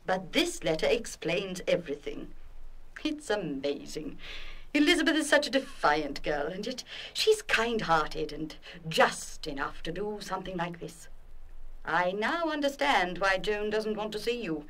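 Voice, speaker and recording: Expressive, authoritative headmistress. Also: female voice, medium pitch, unhurried. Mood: reflective, understanding. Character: adult headmistress, perceptive, frank and kindly authoritative. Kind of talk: full-cast audio radio play acting.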